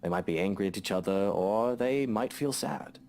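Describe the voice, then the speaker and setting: A man's voice: on the low side, moderate pace, darkish tone, close to an everyday voice. A man voicing a grown man who patiently explains things to a child in a visual novel. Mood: gentle.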